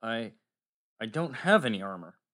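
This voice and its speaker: A man's voice: fairly low pitch, very animated, normal speed, fairly bright tone. A man voicing an earnest, unlucky young squire in a storybook, with a hesitant, glum manner. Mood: dismayed, hesitant. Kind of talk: audiobook character acting.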